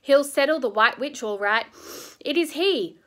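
A woman voicing a gloomy, kindly male beaver in a storybook reading, speaking slow and grave. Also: high voice, animated, fairly bright tone, voice pushed up. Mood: earnest.

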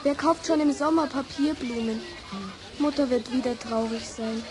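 A woman's voice: high-pitched, moderate pace, a crowd in the background, lightly acted. A woman playing a shy, poor young flower-selling girl in an old children's radio play. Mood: sad.

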